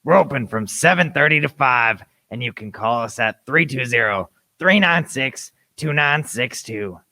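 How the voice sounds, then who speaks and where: Amateur radio ad: young man as gruff pirate mechanic, fry-laden, sharp-edged voice, pirate drawl. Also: mid-range voice, expressive, unhurried, dry recording, heavily acted. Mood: gruff, helpful. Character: gruff pirate mechanic advertising a repair shop.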